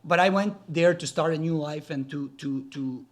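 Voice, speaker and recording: A man in his forties, a native Serbian speaker raised in Quebec, talking as a podcast guest in a friendly, halting way full of fillers and restarts. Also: on the high side. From Serbia, raised in Gatineau, Quebec. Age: 40s. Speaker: podcast guest, real estate developer. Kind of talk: casual interview talk.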